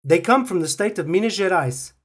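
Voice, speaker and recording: A woman reading short phrases slowly and carefully for language learners to repeat. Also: on the low side, expressive. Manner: reads each phrase slowly and carefully, with pauses for repetition. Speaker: phrase recording voice. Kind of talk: slow, clear phrase drills for learners.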